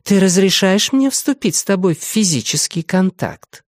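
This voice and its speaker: A man putting on a woman child-protection officer in an audiobook, her voice pitched well above his own and lilting. She speaks in a sing-song, breathy way, reciting her lines like a script. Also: animated, moderate pace, dry recording, clean studio sound. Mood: cooing.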